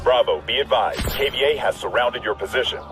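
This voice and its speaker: Casting: male actor as businesslike mission operator, military game, medium pitch, clipped callouts under loud music. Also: brisk, close to an everyday voice, low-quality recording. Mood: urgent. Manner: clipped, procedural. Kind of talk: military shooter game radio dialogue.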